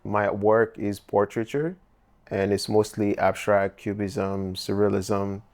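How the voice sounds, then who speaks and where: A man's voice: on the low side, flat delivery, slow, darkish tone. A young man from Haiti with a Haitian accent, a painter in a documentary interview, speaking reflectively with loose phrasing and many fillers. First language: Haitian Creole.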